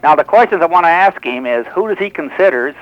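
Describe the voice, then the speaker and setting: A man's voice: on the high side, animated, quick pace, no room sound. Seventy-year-old American man on dull phone line. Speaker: phone-in caller. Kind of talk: casual sports chat. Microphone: telephone.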